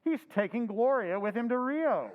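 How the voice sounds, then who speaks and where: An earnest handyman, played by a man in an old-style radio play. His high, lively voice comes through dull and muffled, with a long hall echo. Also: moderate pace. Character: earnest male handyman friend. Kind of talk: old-time radio drama recreation.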